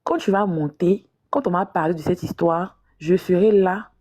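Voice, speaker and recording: A woman's voice: fairly low pitch, normal speed, darkish tone. A woman guest on a live talk show, telling her story hesitantly with frequent restarts and repetitions. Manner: hesitant, restarts and repeats herself. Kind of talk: live-stream interview testimony.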